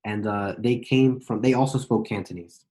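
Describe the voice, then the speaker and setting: A man's voice: lowish voice, normal speed, mellow. An American man interviewing casually, talking loosely with lots of "like" and restarts. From United States.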